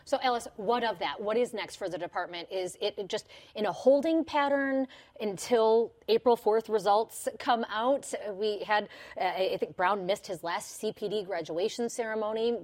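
A woman hosting a local news review program, reading headlines and then putting measured questions to a panel of journalists in a studio. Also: mid-range voice, a little mellow. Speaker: news show host and panel moderator. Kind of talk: broadcast news moderation.

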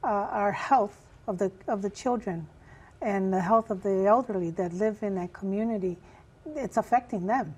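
A woman with a raspy voice, chair of a community group, answering interview questions earnestly with many pauses and fillers. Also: mid-range voice, darkish tone. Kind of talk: news interview guest.

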